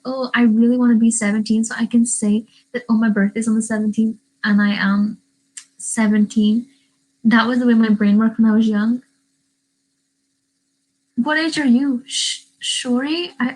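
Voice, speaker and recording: A woman's voice: fairly high pitch, steady tone, normal speed, darkish tone, low-quality recording. A teenage girl from Northern Ireland chatting casually on a livestream, rambling and answering questions from the live chat. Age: teens. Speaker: YouTuber. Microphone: webcam.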